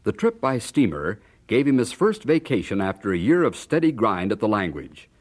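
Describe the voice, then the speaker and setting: An American man narrating a radio drama in a formal, measured, solemn announcer's style. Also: lowish voice, very animated. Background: organ music.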